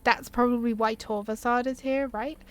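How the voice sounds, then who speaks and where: A woman's voice: on the high side, fairly even intonation, normal speed. A woman giving casual, enthusiastic gameplay commentary, chatting informally and easily sidetracked. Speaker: gaming video creator. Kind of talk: casual chatty gameplay commentary, reading game dialogue options aloud.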